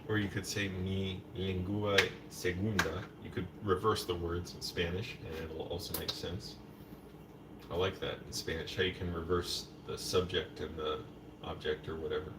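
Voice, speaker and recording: A man's voice: deep voice, flat delivery, moderate pace. A man casually chatting on a live stream while preparing food in his kitchen, rambling to himself and dropping in Spanish words. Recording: distant mic with noticeable background noise.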